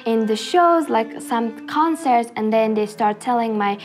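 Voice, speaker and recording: Afghan teen cellist, high voice, hesitant and chatty, faint music underneath. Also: female voice, unhurried. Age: teens. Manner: hesitant, casual, many "like" fillers. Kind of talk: documentary interview. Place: indoor library room.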